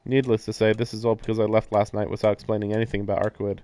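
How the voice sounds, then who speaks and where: A man's voice: on the low side, level tone, very fast, mellow, smooth, no echo. A man reading a visual novel's narration aloud on a stream, breaking into casual commentary.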